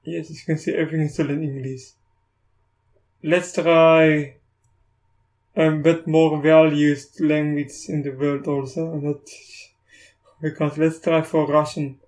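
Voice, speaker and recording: A South African man whose first language is Afrikaans, casually talking through a screen recording of software, often stopping and restarting. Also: fairly high pitch, little pitch movement. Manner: halting, restarts often, thinks aloud. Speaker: tech YouTuber.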